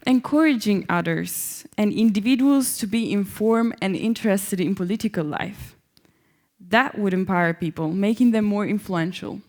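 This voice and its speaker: Young woman reading a play part, hopeful independent iranian citizen, medium pitch. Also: moderate pace, a little mellow, close to an everyday voice. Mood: reflective. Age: young adult. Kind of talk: staged reading of a short play.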